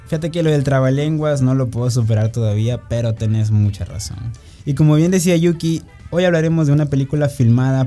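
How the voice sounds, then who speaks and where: A man's voice: mid-range voice, mellow. A man with a Salvadoran accent co-hosting a radio segment, reading prepared notes in a friendly way. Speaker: radio co-host.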